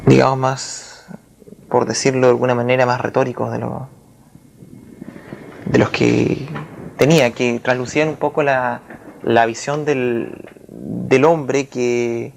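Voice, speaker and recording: A man speaking as a writer in a reflective literary interview, with formal wording and a halting, searching manner. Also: medium pitch, level tone, vocal fry, slight background noise. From Chile.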